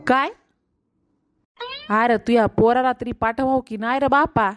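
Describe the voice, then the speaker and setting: A woman with a rural Marathi accent voicing a bossy, scolding male stunt-show host in a comedy cartoon, with gruff, mocking banter. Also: a little high, steady tone, unhurried, no room sound, studio quality, soft background music, close to an everyday voice.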